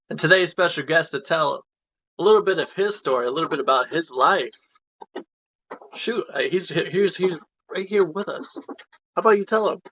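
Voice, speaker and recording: A man hosting an interview podcast with a gravelly, forceful edge to his speech. He sounds lively and eager, chatting as he draws out his guest. The sound is a little dull and lo-fi. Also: a little high, moderate pace, pauses often. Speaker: podcast host.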